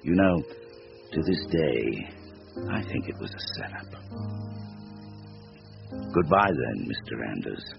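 An actor playing the Devil in a radio drama as an ancient, world-weary tempter, slow, deliberate and wry. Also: male voice, extremely low pitch, very animated, vocal fry, heavily acted. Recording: muffled radio recording with music behind.

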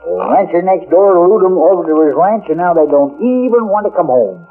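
An actor playing a crusty old cowboy sidekick with a folksy, blustering American drawl in a 1940s radio comedy. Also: male voice, high voice, animated, fairly quick, soft background music. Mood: smug, challenging. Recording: narrow, tinny vintage radio recording.

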